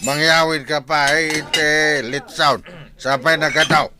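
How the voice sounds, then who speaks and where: A man's voice: on the high side, fairly even intonation, measured pace, background noise, close to an everyday voice. A man playing an easygoing village husband who protests and bickers in a radio drama, speaking with an Ilocano accent. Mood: protesting.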